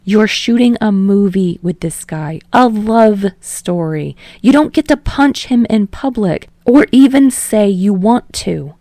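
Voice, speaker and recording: A woman's voice: medium pitch, lively intonation, a little slow, hardly any pauses, warm tone, no room sound, pitched above the performer's own voice. A woman voicing an unflappable, wry talent manager, a man who speaks with pointed, exasperated emphasis in a story reading.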